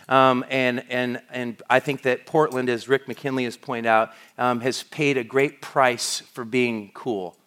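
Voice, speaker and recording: A man's voice: mid-range voice, fairly bright tone. A middle-aged man from the United States, preaching a sermon as a pastor in a conversational way, often restarting his sentences. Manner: conversational, with frequent restarts and fillers.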